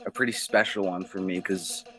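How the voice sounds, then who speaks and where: A man's voice: deep voice, fairly even intonation, moderate pace, pushed and bright. A man conducting a music interview in an informal, enthusiastic way, swearing casually as he goes.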